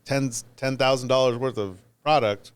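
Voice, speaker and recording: A man's voice: medium pitch, fairly even intonation, moderate pace, smooth. An American man chatting casually as a co-host on a podcast. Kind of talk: casual chat.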